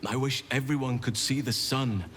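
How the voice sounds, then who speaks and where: An actor playing a gentle, solemn druid in a video game, speaking in a measured, earnest, poetic way. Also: male voice, mid-range voice, fairly even intonation, slight background noise, lightly acted. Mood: earnest, sorrowful. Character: gentle, solemn male druid who loves nature. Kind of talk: scripted video game dialogue.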